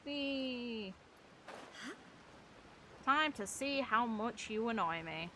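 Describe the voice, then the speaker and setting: Bright-voiced, blunt woman streaming a game. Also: on the high side, animated, extremely slow, a little hiss or hum. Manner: blunt, sweary, talks to the game. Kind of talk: casual gameplay commentary.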